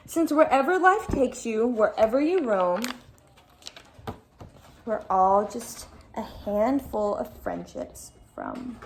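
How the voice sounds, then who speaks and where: A woman schoolteacher reading aloud in an expressive, dramatic storytelling style with casual asides. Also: sits high, a little hiss or hum, poor audio. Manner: animated, dramatic storytelling delivery.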